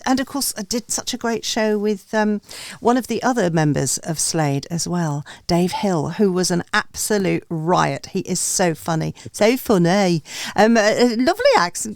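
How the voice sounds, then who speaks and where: A woman presents a music show with a casual, chatty manner, wandering off on small digressions. Her voice sits at a medium pitch and the sound is dry, with very little room in it. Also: dry recording. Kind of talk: casual radio show chat.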